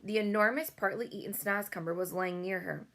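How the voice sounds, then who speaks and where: A woman's voice: mid-range voice, a little fast, fairly bright tone. A woman reading a children's storybook aloud as host, with casual asides.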